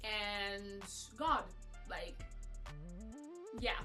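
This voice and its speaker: A woman's voice: medium pitch, extremely slow. Chatty young woman from Zimbabwe. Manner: chatty, candid, lots of filler "like". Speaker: vlogger. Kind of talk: casual get-to-know-me Q&A. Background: background music.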